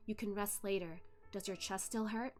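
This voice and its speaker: A calm male underworld spirit speaks quietly and with measured, gentle concern, acted by a woman reader. It is a storybook reading with soft music behind. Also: medium pitch, lightly acted. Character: calm, solicitous male underworld ghost slayer.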